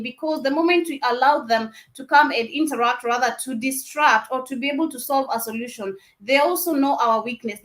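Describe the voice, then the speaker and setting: A young woman with a Kenyan Luo accent hosts a live talk radio show in a chatty, easygoing way, reading out listener messages and laughing easily. Her voice sits a little higher than most women's. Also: fairly bright tone.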